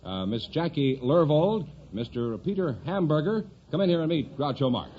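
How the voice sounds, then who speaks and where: A man's voice: a little high, animated, moderate pace. A man announcing formally as the sidekick on a radio quiz show. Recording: muffled, noisy old radio recording.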